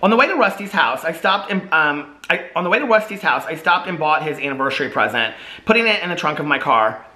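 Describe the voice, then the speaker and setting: A man's voice: a little high, big pitch swings, quick pace, sharp tone. A man with a chatty, playful, informal way of talking, casually vlogging and reading his own book aloud. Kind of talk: casual chat and storybook read-aloud.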